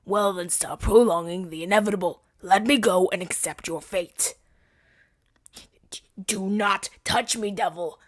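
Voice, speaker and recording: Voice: mid-range voice, unhurried, no room sound, lightly acted. A woman playing a flustered, self-righteous hero in a scripted ASMR audio drama, with a theatrical, stammering delivery. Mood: solemn, defiant, then indignant, panicked. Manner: stammering, indignant, dramatic delivery with breathy whispers.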